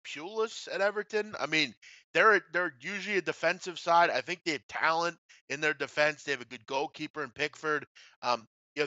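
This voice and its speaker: A man hosting a casual sports betting discussion, speaking bluntly with some swearing and halting asides. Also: a little high, bright, forceful tone, no room sound. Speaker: sports betting show host. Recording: slightly dull, dry lo-fi audio.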